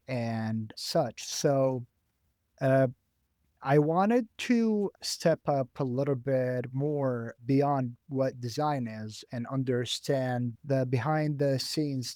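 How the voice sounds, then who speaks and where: A young man whose first language is Arabic, speaking thoughtfully as a graduate student guest in a career interview, with frequent "uh" pauses. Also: mid-range voice, darkish tone, dry recording, clean studio sound.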